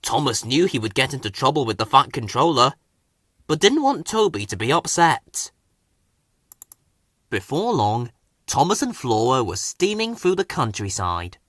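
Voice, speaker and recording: A man's voice: mid-range voice, sing-song, normal speed, a bit bright, no echo, clean studio sound. A man narrating a scripted children's story as a storybook reader.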